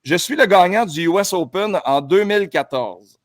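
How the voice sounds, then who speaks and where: A man's voice: sits high, expressive, moderate pace. A man with a Québécois accent hosting a casual podcast, reading quiz clues with an upbeat, playful announcer tone. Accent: Québécois French. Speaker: podcast host running a golf quiz.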